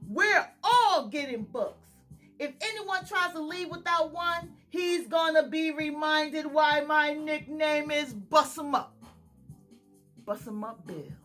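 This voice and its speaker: A woman's voice: high-pitched, takes it slowly, a bit bright, faint music behind. A woman reading aloud, voicing a big, boastful male biker gang leader with a growling, blustering manner.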